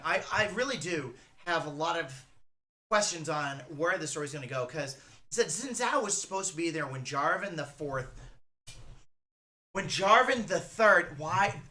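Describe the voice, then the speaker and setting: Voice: mid-range voice, sharp tone. A streamer giving casual reaction commentary, rambling and thinking aloud with a fan's enthusiasm.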